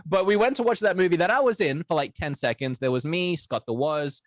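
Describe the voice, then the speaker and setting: A man co-hosting a podcast, chatting casually and telling jokey anecdotes in an animated way. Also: on the high side, fairly quick, dry recording, studio quality. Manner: animated, jokey storytelling with acted-out quotes. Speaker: podcast co-host.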